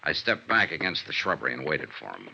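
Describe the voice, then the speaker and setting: A low, very forceful American man as a hard-boiled insurance investigator in an old radio drama. His delivery is clipped, wry and swings widely in pitch, on a dull vintage recording. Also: sing-song, brisk, gravelly, a little hiss or hum, exaggerated voice. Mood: wry narration. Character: sharp, confident male insurance investigator narrating his case.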